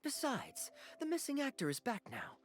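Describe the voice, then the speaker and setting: An actor playing a suave young male adventurer in a video game, with a smooth, teasing, theatrical delivery. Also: very high voice, wildly swooping pitch, moderate pace, heavily acted. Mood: relaxed, playful.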